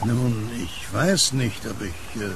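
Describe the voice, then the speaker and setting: An actor playing a gentle, easygoing blind newspaper seller and war veteran in an audio drama, speaking in a friendly, matter-of-fact way. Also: male voice, on the low side, takes it slowly, creaky, background noise. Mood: hesitant. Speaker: kiosk newspaper vendor.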